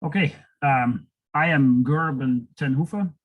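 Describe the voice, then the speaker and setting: A man's voice: fairly high pitch, measured pace, narrow, tinny audio. A man with a Dutch accent, a dairy farmer from the Netherlands, giving a presentation over a video call with hesitations and fillers.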